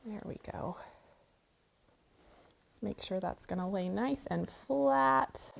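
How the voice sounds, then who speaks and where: A woman's voice: mid-range voice, takes it slowly, gravelly. Chatty woman teaching quilting. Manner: chatty, walks through steps while working. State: has a cold, sniffling. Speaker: quilting show host. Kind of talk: friendly sewing tutorial. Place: sewing studio indoors.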